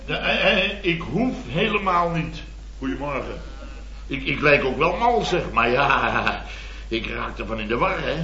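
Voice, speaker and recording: An actor playing a flustered, blustering middle-aged boss of a building firm in an old radio comedy, rambling, stammering and repeating himself. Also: male voice, mid-range voice, sing-song, unhurried, bright, forceful tone. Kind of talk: 1970s Dutch radio comedy acting. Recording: dull, roomy dated radio recording.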